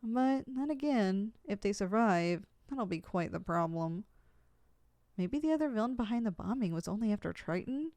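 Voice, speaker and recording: A woman's voice: mid-range voice, animated, normal speed, mellow, no echo, natural voice. A woman playing a proud but easily flustered, lovesick young supervillain in an affectionate, dramatic ASMR roleplay. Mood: annoyed, pondering.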